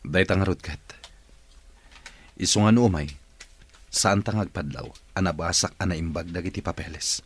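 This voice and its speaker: A blunt boss in a radio drama, grumbling yet determined. The voice sits very low, with a husky rasp that gives every order some grit. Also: male voice, moderate pace, pauses often. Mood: suspicious, irritated. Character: wheelchair-using male boss, grumbling but determined.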